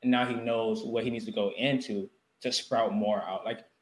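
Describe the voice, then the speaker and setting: A man's voice: fairly low pitch, fairly even intonation, moderate pace, roomy sound, poor audio. A man hosting a casual chat, loose and playful. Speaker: host.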